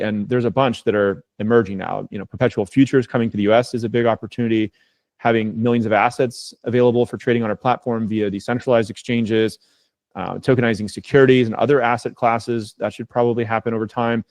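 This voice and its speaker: A man with a mid-range voice talks very quickly through business points on an earnings call. He is assured and emphatic yet conversational, and the sound is dry and close. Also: mid-range voice, a little mellow, dry recording. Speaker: company chief executive on an earnings call. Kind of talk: business call remarks, making a case point by point.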